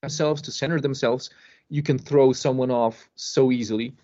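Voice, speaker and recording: A man explaining things casually as a podcast guest, with emphasis and pauses to find his words. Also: mid-range voice, fairly even intonation, dark tone. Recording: dull lo-fi podcast audio.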